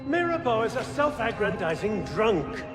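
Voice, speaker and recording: High-pitched, zealous conspirator leader. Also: male voice, big pitch swings, normal speed, loud music behind the voice. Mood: contemptuous. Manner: theatrical, oratorical, commanding. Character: scheming, zealous male leader of a secret brotherhood. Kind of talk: dramatic video game cutscene acting.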